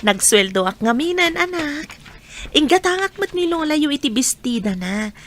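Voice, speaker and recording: A woman acts a generous working mother in an Ilocano radio play. Her voice is fairly high, with a northern Philippine Ilocano accent. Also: big pitch swings, normal speed, slight background noise. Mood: warm, giving. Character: hard-working, generous mother of young children. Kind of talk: Ilocano radio drama acting.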